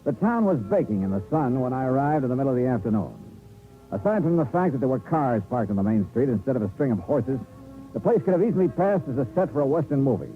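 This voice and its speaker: A man's voice: mid-range voice, very animated, fast talker, narrow, tinny audio, lightly acted. Male actor as hard-boiled American insurance sleuth, 1950s radio style, swift delivery, thin vintage sound with music behind. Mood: brisk narration. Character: wry, brisk, hard-boiled male freelance insurance investigator.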